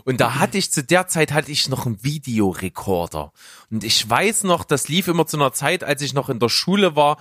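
Lively, dryly recorded man in casual chat. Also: medium pitch, brisk, dry recording, clean studio sound. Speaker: podcast host. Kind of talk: casual group chat about childhood TV.

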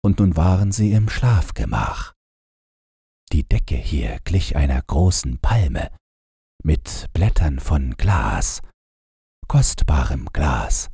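Slow, husky, mellow male storyteller. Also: very deep voice, very animated, dry recording. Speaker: audiobook narrator. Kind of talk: scripted bedtime fairy tale narration.

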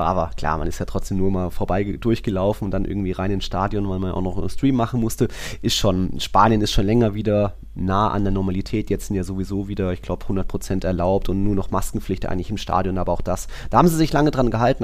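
Young man, low voice, football podcast co-host, casual chat, rambling colloquial storytelling. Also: fairly even intonation, fast, a little mellow.

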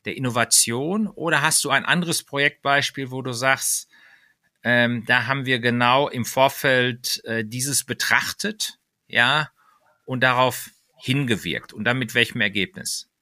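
Bright-voiced man hosting an interview. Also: medium pitch, unhurried, dry recording, studio quality. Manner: long winding questions with many fillers. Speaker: podcast host. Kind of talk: interview questions.

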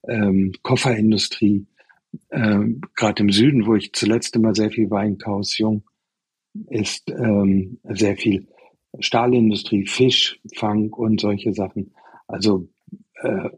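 A man in his sixties, a chef, answering interview questions at length in a relaxed, expansive way with many fillers. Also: mid-range voice, slightly rough, no echo, low-quality recording. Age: 60s.

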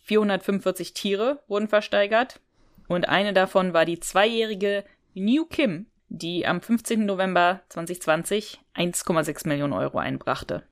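A woman's voice: mid-range voice, normal speed. A woman co-hosting a podcast, chatting casually and readily amused as she retells and discusses a story. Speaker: podcast co-host. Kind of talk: casual chat, retelling and discussing an audio drama episode.

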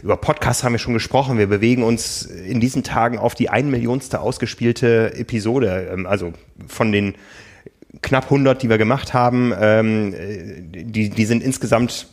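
A man with a fairly low voice talks through a relaxed podcast conversation. He speaks thoughtfully and often hesitates with fillers as he gathers his thoughts. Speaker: podcast host and magazine editor.

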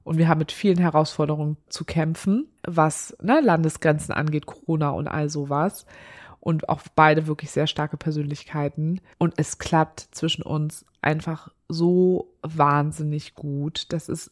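A woman's voice: low voice, steady tone, normal speed. A woman hosting a solo podcast, talking candidly and chattily with a slightly nasal voice from a blocked nose. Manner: chatty, candid, laughs often. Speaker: podcast host. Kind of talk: casual solo podcast chat.

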